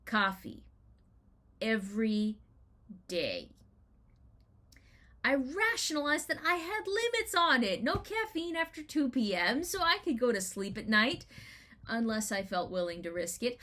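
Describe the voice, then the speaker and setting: A woman writer reading her own personal essay as a dramatic reading, in an expressive, deliberate storytelling manner with emphatic rhetorical questions. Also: fairly high pitch.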